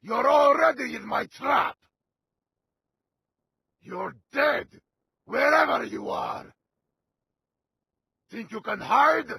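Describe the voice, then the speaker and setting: A man voicing a vengeful, wrathful militant commander in a video game, gravelly and commanding, delivering menacing combat lines. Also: extremely high pitch, lively intonation, slow, pauses often, bright, forceful tone, no room sound, raised voice, exaggerated voice. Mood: furious. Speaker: playable game character.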